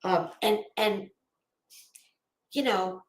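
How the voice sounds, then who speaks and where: A woman giving rambling, casual online commentary in a sarcastic, mocking manner, with halting delivery and drawn-out pauses. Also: medium pitch, slight background noise. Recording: roomy, echoey sound.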